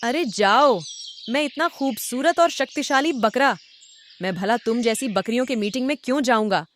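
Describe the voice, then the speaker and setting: Casting: vain bully of a goat played by a woman, loud scolding cartoon delivery, faint birds behind. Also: a little high, moderate pace, slight background noise, voice pushed up, lightly acted. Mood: scornful. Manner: haughty, scolding.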